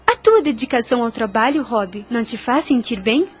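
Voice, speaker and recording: A woman playing a gentle, devout young woman in an audio drama, earnest and soothing. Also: a little high, big pitch swings, normal speed, faint music behind.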